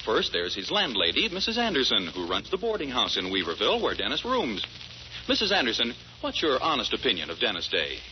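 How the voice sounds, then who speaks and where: Animated male 1940s radio announcer. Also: medium pitch, fairly quick, noisy background. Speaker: announcer and narrator. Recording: muffled 1940s radio recording, loud music.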